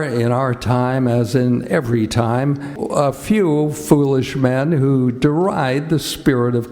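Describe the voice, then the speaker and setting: A man's voice: mid-range voice, mellow. A man announcing in a formal old-time radio style as the show's announcer. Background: music.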